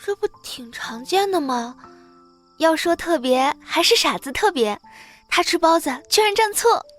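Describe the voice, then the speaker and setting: Very high, sweet young female disciple. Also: sing-song, moderate pace, a bit bright, soft background music, heavily acted. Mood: sweet, teasing. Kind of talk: Chinese audio drama acting.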